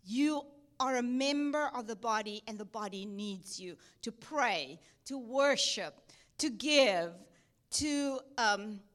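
A woman's voice: fairly high pitch, expressive, takes it slowly. A woman from South Africa with a South African accent touched by Texas, speaking earnestly at church as a missionary guest, with frequent ums. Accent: South African, with some Texan. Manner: earnest, with soft laughs and many ums. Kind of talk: church testimony and exhortation.

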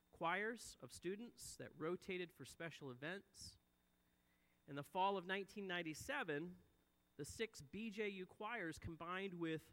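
A man in his forties delivers a sermon in an earnest, high and bright voice. He swells for emphasis and then sinks low and soft at the ends of phrases. His voice rings out with a long reverb in a church sanctuary. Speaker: preacher.

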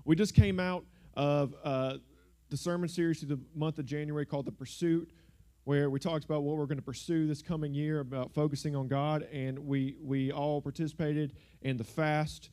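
A young man with a drawl preaches to a congregation in a loose, joking way, filling his talk with "like" and "y'all." His voice is mellow and warm, carried through a handheld microphone in a church. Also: fairly high pitch, steady tone, moderate pace. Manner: joking, conversational, uses filler words like "like" and "y'all". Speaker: guest preacher. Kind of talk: casual sermon. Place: church sanctuary.

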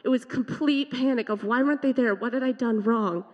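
A woman with a fairly high, loud voice gives an earnest, emotional testimony in a church. The sound is somewhat dull and lo-fi, and her words ring out in a long hall reverb. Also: a little fast. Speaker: youth leader sharing testimony. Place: church sanctuary.

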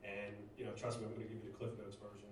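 A man from Northeast Philadelphia giving a halting personal testimony to a church congregation, full of fillers and restarts. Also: lowish voice, monotone. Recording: echoey room, distant mic, faint noise.

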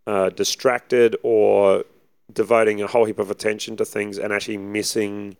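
Husky assistant pastor, low and gravelly, casual and rambling. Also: male voice, level tone, normal speed, a little mellow, no echo. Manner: easygoing, rambling with many fillers. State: husky from preaching. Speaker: assistant pastor, podcast co-host. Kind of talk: casual chat.

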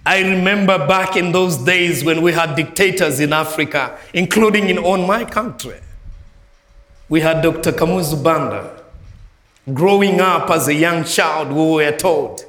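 Emphatic Malawian preacher in echoey church. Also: male voice, measured pace. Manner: emphatic, swings between soft low phrases and raised ringing lines. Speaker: guest preacher. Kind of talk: sermon.

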